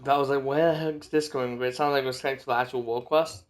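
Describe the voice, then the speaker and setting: A man's voice: medium pitch, steady tone, fast talker, slight background noise. A man giving casual, rambling, informal commentary as he streams a game. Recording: faint game music behind.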